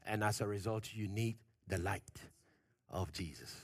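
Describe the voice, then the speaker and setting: A man preaching a sermon, pressing his points with questions to the congregation, loud at the peaks and dropping low and quiet at phrase ends. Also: a little slow, dry recording, clean studio sound. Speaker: pastor.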